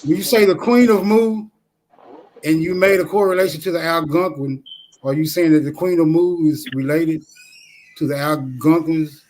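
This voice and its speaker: A man in an informal debate, putting questions to others in formal, deliberate wording. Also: on the high side, steady tone, a little mellow, a little hiss or hum, poor audio.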